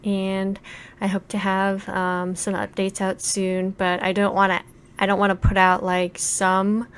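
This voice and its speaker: A woman giving a casual devlog update as an indie game developer, speaking in a halting, apologetic, hedging way. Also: mid-range voice. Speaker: indie visual novel developer. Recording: clear steady background noise.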